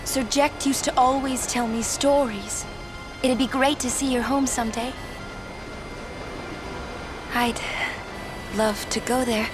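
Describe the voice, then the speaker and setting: An actress playing a gentle, earnest, hopeful young woman in a video game, sincere and polite with halting phrasing. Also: a little high, steady tone. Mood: soft, hopeful. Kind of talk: video game cutscene acting. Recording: music behind the voice.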